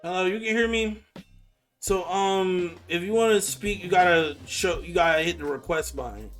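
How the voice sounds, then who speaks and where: Male, twenties, higher-pitched voice, fed-up and combative live-chat host, slangy and sweary, faint music underneath. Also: moderate pace, fairly bright tone. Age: 20s. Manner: exasperated, confrontational, slangy and sweary. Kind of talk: casual argumentative live conversation.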